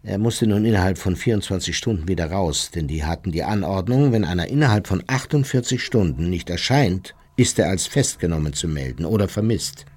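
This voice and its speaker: An actor in a radio documentary drama playing an unrepentant German wartime officer who recounts events in a precise, matter-of-fact way. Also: male voice, deep voice, animated, fairly quick, hardly any pauses, darkish tone, slightly rough. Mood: coolly satisfied.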